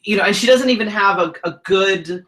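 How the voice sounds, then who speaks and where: Brief: male co-host, bright and forceful tone, blunt and profane, indignant delivery. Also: high-pitched, brisk. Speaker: co-host, drag king performer. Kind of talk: heated casual chat.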